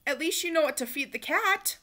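A practical picture-book grandma, voiced by a woman reading aloud. She sounds high and lively, matter-of-fact, with fond mock sternness. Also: brisk. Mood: mock-stern.

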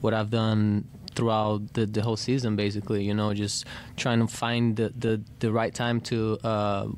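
Low, flat-voiced man at press table. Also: slight background noise. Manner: halting, many fillers and restarts. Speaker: basketball player at press conference. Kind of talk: post-game press answers. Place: arena press room. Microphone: desk mic.

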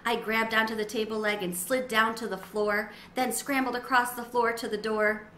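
A middle-aged woman giving an expressive, dramatized read-aloud of a children's story. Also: on the high side, normal speed. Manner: animated, dramatizing. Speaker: storybook reader. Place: small sunroom with windows, indoors. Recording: roomy echo, faint background noise.

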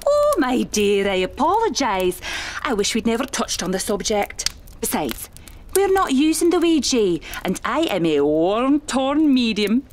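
A woman playing a seasoned, genteel spiritualist medium in a radio play, speaking in a measured, dramatic way. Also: fairly high pitch, very animated, slight background noise. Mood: spirited.

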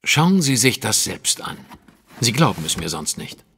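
An actor playing a shrewd, self-assured detective who explains things precisely in a German audio drama. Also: male voice, medium pitch, big pitch swings, a little slow, vocal fry, a bit bright, big-room sound, slight background noise, heavily acted. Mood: assured, explaining. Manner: precise, lecturing, deductive.

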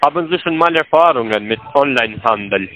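Bright-voiced male scam caller. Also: medium pitch, expressive, a little fast, highs cut off. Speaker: scam call-center caller.

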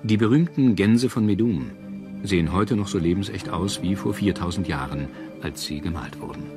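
A man narrating a scripted history documentary in a measured, dramatic storytelling manner. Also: sits low. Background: prominent music.

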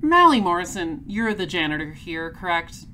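A woman playing a polite, patient space patrol detective in a comedy audio drama, questioning in a measured deadpan. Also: fairly low pitch, a little hiss or hum. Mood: patient, firm.

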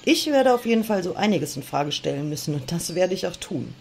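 A bossy, mocking young-world Eve type in a comic radio play, acted by a woman. Her voice sits on the low side with a gravelly creak. She teases and needles, over faint birdy background noise. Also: big pitch swings, brisk, darkish tone. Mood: bossy, persuasive. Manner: sassy, teasing. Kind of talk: comedic audio drama dialogue.

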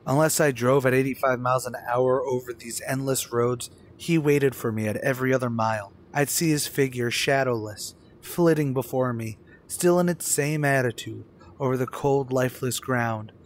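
A man's voice: mid-range voice, moderate pace, a little hiss or hum, lightly acted. An actor playing a haunted, unravelling young man in an old-time radio suspense drama, with a brooding, dramatic delivery. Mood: haunted, dread.

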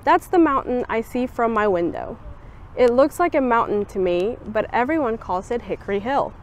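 A young woman volunteer reading a children's picture book aloud outdoors, giving the quoted lines a lively, expressive lift. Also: a little high, moderate pace, slight background noise. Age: young adult. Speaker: student volunteer reader. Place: outdoors on a campus bench.

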